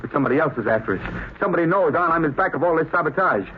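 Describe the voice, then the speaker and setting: A man acting as a scared underling in an old radio thriller. His fairly high voice stammers and pleads with nervous desperation, heard through a slightly dull, hissy 1930s recording. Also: expressive, rapid-fire, lightly acted. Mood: nervous, desperate. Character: frightened, desperate male saboteur, a cornered underling. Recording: muffled, noisy 1938 radio recording.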